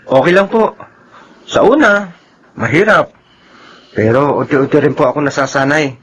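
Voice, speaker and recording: An actor playing an earnest, caring young son in a Filipino radio drama, with a Filipino accent. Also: male voice, on the high side, sing-song, normal speed, a bit bright, slightly rough, heavily acted. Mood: concerned, earnest. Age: young adult. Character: earnest young son, worried for his father.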